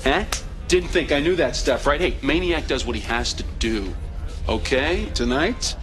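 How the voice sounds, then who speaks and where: A man's voice: medium pitch, animated, measured pace, fairly bright tone, faint music behind. An actor playing a cocky, boastful hotshot pilot in his thirties in a science fiction game, American, brash and slangy. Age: 30s.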